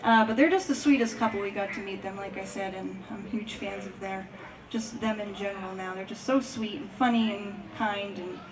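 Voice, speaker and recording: A woman's voice: medium pitch, steady tone, moderate pace, recorded from a distance, a crowd in the background, poor audio. A woman, a singer and bandleader, chatting casually and effusively to introduce songs from a live concert stage. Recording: live concert sound from off, guitar picking.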